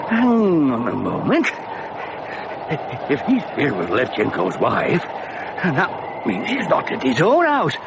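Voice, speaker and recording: A man's voice: sits high, exaggerated voice. An actor playing a bruised, furious village man in a radio play, muttering threats and blustering. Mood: scheming. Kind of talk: 1990s radio drama acting. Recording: dull radio recording with outdoor noise.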